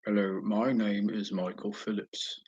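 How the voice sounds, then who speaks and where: A man putting on a poor English accent as a solemn time traveler from the future, introducing himself slowly and deliberately. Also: on the low side, little pitch movement, darkish tone, muffled recording, slight background noise, close to an everyday voice. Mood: solemn announcing. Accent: poorly imitated English. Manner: slow, deliberate self-introduction.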